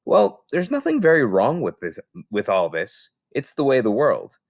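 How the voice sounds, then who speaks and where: A man reading a children's book aloud in an expressive, theatrical storytelling style with emphatic phrasing. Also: medium pitch, normal speed, gravelly, no echo, studio quality.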